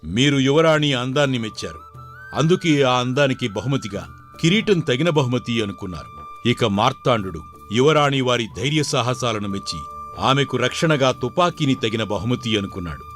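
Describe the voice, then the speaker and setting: A dignified king from a storybook tale, played by a man. He speaks formally and with authority, questioning and declaiming in a medium-pitched voice, with faint music behind. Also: lively intonation, normal speed, fairly bright tone, close to an everyday voice. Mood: explaining. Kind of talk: dramatised Telugu folk tale.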